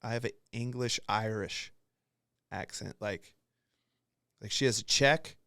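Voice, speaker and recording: A man's voice: fairly low pitch, takes it slowly, creaky, sharp tone, dry recording, studio quality. A young man hosting a podcast, telling rambling, profane stories in casual chat and acting out what others said. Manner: profane, rambling storyteller who acts out quotes. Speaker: podcast host.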